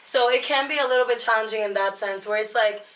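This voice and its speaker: A young woman from Virginia who grew up speaking both Spanish and English. She is a hip hop and R&B artist talking casually at a lounge venue, in a relaxed and upbeat way with plenty of slang. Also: fairly high pitch, fast, recorded from a distance. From Alexandria, Virginia. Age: young adult. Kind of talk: casual interview. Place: busy lounge venue before a show. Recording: roomy venue sound, from off-mic.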